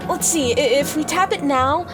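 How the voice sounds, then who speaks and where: An actress playing an anxious young hero in a radio drama, flustered and fretful. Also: female voice, sits high, moderate pace, close to an everyday voice. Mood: worried. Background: music.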